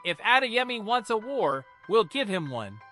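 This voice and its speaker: A commanding senior policewoman, put on by a male narrator, giving clipped, authoritative lines in a folktale. The voice is raised in pitch, forceful and animated. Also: moderate pace, soft background music, exaggerated voice. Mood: resolute.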